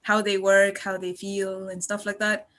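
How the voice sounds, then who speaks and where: A woman's voice: mid-range voice, level tone, unhurried, a bit bright. A woman in her twenties from Malaysia chatting casually on a podcast, effusive and rambling as she tells her stories. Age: 20s. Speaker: podcast guest and student contributor.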